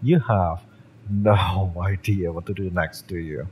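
A man's voice: sits low, steady tone, slow pace, a little mellow, slight background noise. A man playing a cocky, fiery young cyberpunk mercenary in an audio roleplay, smug and menacing as he taunts. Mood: taunting. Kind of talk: dramatic audio roleplay, intimate villain-romance acting.

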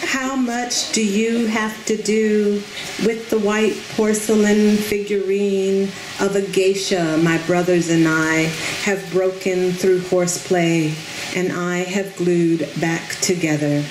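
Slow, deliberate woman reading poetry. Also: mid-range voice. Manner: slow, measured, deliberate delivery. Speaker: poet reading her own work. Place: outdoor tent stage at a reading. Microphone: podium microphone on a stand. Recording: roomy sound with steady background noise.

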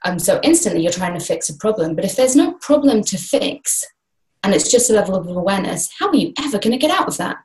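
A woman's voice: mid-range voice, fast, rough recording. A woman in her thirties, a guest speaker, talking casually and animatedly over a laptop video call from a small room. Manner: animated, gestures widely with both hands. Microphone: laptop webcam on a video call.

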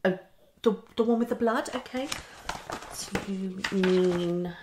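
A woman's voice: mid-range voice, takes it slowly, background noise. A woman, around her thirties, performing an ASMR psychologist roleplay, muttering and repeating herself as she slips between whispers and speech. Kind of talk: fast, unpredictable ASMR roleplay. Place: small living room, indoors.

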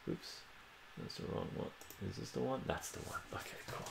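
A man's voice: medium pitch, lively intonation, normal speed, creaky, roomy sound, noisy background. A middle-aged man from Iran giving a casual live math lesson at a whiteboard in a small home room, chatty and repeating himself, tagging his points with "right?". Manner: chatty, repeats phrases and tags "right?" often. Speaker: math streamer.

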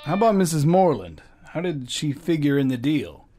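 Man as gruff police lieutenant, grumbling, old-time radio drama, lively pitch. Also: brisk, faint music behind. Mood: wry. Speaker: actor.

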